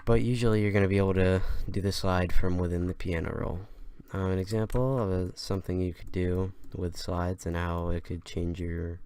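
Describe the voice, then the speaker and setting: Low, monotone man giving a halting tutorial. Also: clear, resonant tone, no room sound. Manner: hesitant, halting, unscripted. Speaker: tutorial host. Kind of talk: casual software tutorial.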